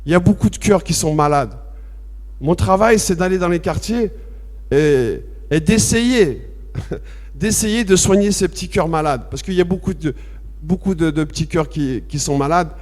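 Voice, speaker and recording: A man from France giving a personal testimony at a public debate, halting and repetitive at first, then impassioned and emphatic. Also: fairly high pitch, darkish tone. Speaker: association president speaking at a public debate. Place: debate hall with audience. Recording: long reverb of a large hall.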